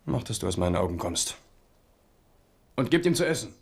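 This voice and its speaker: A man's voice: lowish voice, sing-song, brisk, gravelly. An actor playing an impetuous, mocking young king in a German radio drama, with a scornful, commanding manner. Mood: stern, controlled.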